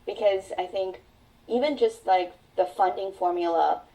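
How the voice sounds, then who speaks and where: A woman's voice: mid-range voice, mellow. A woman whose first language is Mandarin, telling her personal story as a podcast guest in an earnest, reflective way. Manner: earnest, reflective, drawn-out phrasing. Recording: voice sounds distant from the mic.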